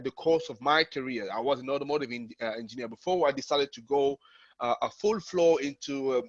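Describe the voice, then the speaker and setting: A man's voice: medium pitch, a bit bright, dry recording. Man presenting, dull close web-call sound. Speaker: company founder and CEO, webinar presenter. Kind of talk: informal webinar slide presentation. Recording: dull, lo-fi online call audio.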